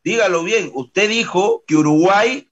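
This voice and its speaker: A man's voice: high voice, measured pace. A Peruvian man in his thirties, a sports panelist who argues insistently over a video call and keeps interrupting to repeat his point. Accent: Peruvian Spanish. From Peru. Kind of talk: heated sports debate. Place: indoor room. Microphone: video call webcam. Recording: dull lo-fi video call with crowd noise.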